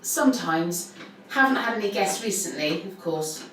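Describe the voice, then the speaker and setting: A woman's voice: mid-range voice, animated, moderate pace, a bit bright, close to an everyday voice. Bored female pub manager played by an actress, chatty, echoey distant recording, audio drama. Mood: bored, matter-of-fact. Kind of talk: naturalistic audio drama dialogue. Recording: echoey, distant, faint noise.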